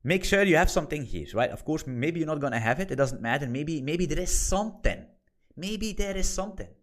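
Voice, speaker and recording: A man's voice: on the high side, expressive, a little fast. A man in his thirties whose first language is Dutch, teaching an informal online course in a blunt, swearing manner and repeating his points for emphasis. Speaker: course instructor. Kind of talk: informal screen-share lesson.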